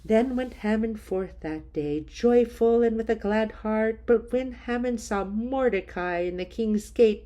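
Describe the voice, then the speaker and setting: A woman reading scripture aloud in a slow, measured, solemn way. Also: mid-range voice, dark tone. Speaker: scripture reader.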